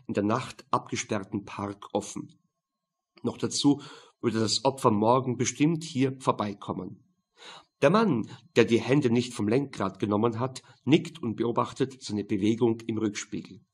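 A man reads a crime novel as an audiobook narrator. His voice is mid-pitched with a rough, husky edge. The delivery is scripted, dry and matter-of-fact. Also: a little mellow.

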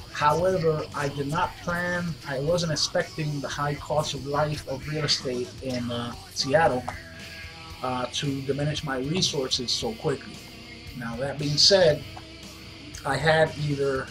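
A congested man in his fifties talks casually into a webcam from a small wood-paneled room, wandering through his update with plenty of "uh"s and restarts. His voice sits a little higher than most men's. Clear guitar music plays under him the whole time. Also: poor audio. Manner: rambling, many fillers and restarts. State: stuffy, congested from a cold. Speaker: gaming channel host. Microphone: webcam at desk. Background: guitar music playing.

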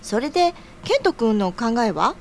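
Sisterly woman, played by an actress, encouraging and playful, feminine phrasing, bouncy intonation, rather high, for audio drama. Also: sing-song, unhurried, gravelly, slight background noise, heavily acted. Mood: sisterly, concerned. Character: sisterly, teasing, encouraging senior female friend.

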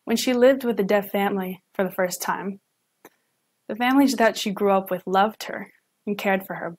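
A young woman, a student interpreter, voicing a signed lecture live at home into a webcam, pausing and correcting herself as she goes. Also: mid-range voice. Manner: halting, with self-corrections and restarts. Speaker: student sign language interpreter.

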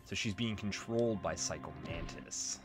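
A man with a fairly low voice chats informally through a game, explaining as he goes. Loud game music plays behind him. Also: normal speed. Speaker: gaming YouTuber. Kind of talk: casual let's play commentary.

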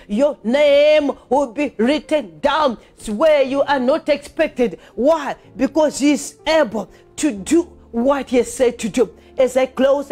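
A woman's voice: sits high, warm tone. A woman preacher delivering a fervent charismatic sermon in an emphatic, repetitive, exhorting manner. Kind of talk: fervent charismatic sermon with scripture reading and prayer.

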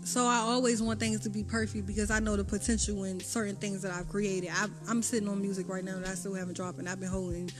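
A woman's voice: medium pitch, level tone, fast talker, hardly any pauses, music in the background. Frank woman musician talking candidly. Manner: frank, reflective, swears casually. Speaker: musician interviewee. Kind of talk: candid interview answers.

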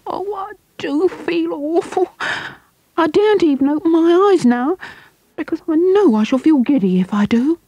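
Lady putting on a high panicky little boy voice, begging, about to cry, storybook. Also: animated, moderate pace, dark tone, no echo, lo-fi sound. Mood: frightened pleading. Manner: pleading, panicky, close to tears. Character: frightened young boy stuck up a tree.

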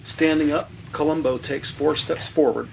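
A man's voice: fairly high pitch, steady tone, normal speed, creaky, background noise. A man reading scripted scene descriptions as the narrator of an audio drama.

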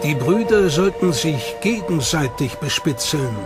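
High-voiced male documentary narrator. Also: animated, a little slow. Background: music.

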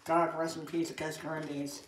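A woman chatting casually and unscripted about toys, in a halting, repetitive way that trails off. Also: deep voice, little pitch movement. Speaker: toy reviewer. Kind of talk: casual unscripted toy review chat. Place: indoor room with hard tiled floor. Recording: echoey room, background noise, clicks.

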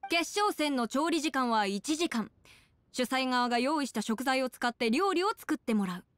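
Confident anime girl emcee, formal announcing, pretty high voice. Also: animated, moderate pace, a bit bright, no echo. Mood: confident, ceremonious. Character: confident young female emcee of a cooking contest. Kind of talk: Japanese anime game voice acting.

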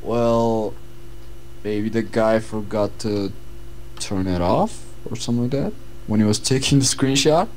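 A man's voice: lowish voice, measured pace, a little mellow, slight background noise, rough recording. A young man with a Bulgarian accent co-hosting casual gaming commentary, laid-back and hesitant. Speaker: gaming channel co-host.

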